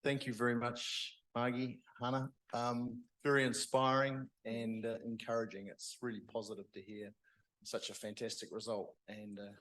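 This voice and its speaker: A man's voice: mid-range voice, little pitch movement, moderate pace, fairly bright tone, rough recording. A man hosting a lecture, wrapping up with upbeat thanks. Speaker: event host. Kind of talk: wrapping up an event. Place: parish hall.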